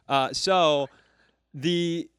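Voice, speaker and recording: A man's voice: fairly high pitch, extremely slow, a bit bright, clean tone, dry recording, studio quality. A man co-hosting a live comedy podcast, telling a rambling anecdote full of asides. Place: comedy club stage.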